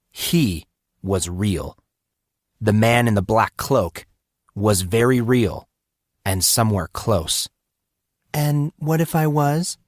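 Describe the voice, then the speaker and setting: A man's voice: fairly low pitch, dry recording, studio quality. A man reading scripted fantasy fiction as a dramatic audiobook narrator.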